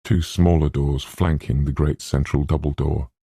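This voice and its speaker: A man's voice: extremely low pitch, flat delivery, normal speed, vocal fry, mellow, slightly rough, no echo, clean studio sound. A man reading literary narration from a script as an audiobook narrator.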